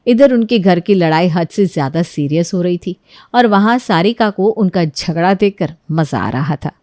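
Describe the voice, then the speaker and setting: A woman's voice: lowish voice, expressive, normal speed, darkish tone, dry recording. Woman narrating stories, dry close sound. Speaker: story narrator. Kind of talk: scripted story narration.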